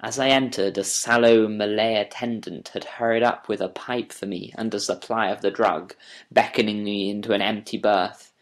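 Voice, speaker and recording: Male audiobook narrator, fairly low voice, measured delivery, deliberate phrasing, literary first-person storytelling. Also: fairly even intonation. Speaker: audiobook narrator reading as Doctor Watson.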